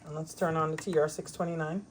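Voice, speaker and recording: A woman's voice: low voice, fast talker, very noisy. Chatty woman reviewing a radio. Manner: relaxed, chatty, with frequent ums. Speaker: ham radio channel host reviewing a radio. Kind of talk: casual product demonstration. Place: at home by a window.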